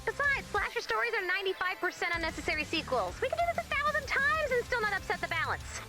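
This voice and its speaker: A woman's voice: extremely high pitch, big pitch swings, fast. A woman voicing an exasperated, bossy group leader in a video game, emphatic and pleading. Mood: pleading, insistent. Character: exasperated bossy leader rallying hapless friends. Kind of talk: video game character voice acting. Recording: music behind the voice.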